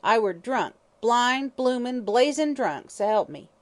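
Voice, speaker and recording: A woman voicing a vicious, drunken old hag of a fortune-teller who croaks and snarls in coarse slang. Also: on the high side, lively intonation, slow pace, voice pushed up. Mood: surly.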